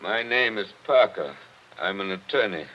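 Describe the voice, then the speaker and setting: An actor playing a formal, reserved attorney in a 1940s radio drama. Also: male voice, deep voice, expressive, normal speed. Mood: cautious. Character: formal, reserved male New York attorney. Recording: dull lo-fi vintage radio recording.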